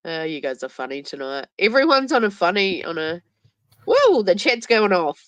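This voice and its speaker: A woman's voice: medium pitch, very animated, takes it slowly, long pauses, no echo. A woman hosting a casual livestream chat with a stuffy nose, joking and laughing easily. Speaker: livestream host.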